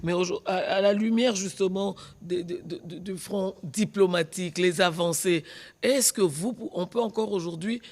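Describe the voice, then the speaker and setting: A man's voice: high voice, normal speed, a little mellow. A man with a Congolese French accent hosting a conversational political interview, often cutting in with agreement. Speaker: talk show host.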